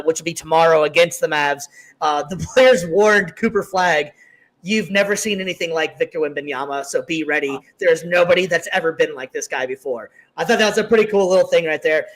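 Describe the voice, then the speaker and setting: A man's voice: on the high side, fairly bright tone, rough recording. A man hosting a casual sports talk show, upbeat and leading the segment with plenty of fillers. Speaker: podcast host.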